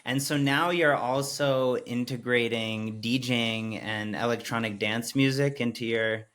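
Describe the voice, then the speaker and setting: A man's voice: mid-range voice, fairly even intonation, measured pace, a bit bright. A man interviews his guest in an easy conversational tone with a mid-range voice. He is openly enthusiastic and builds long, layered questions clause by clause. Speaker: podcast host, interviewer.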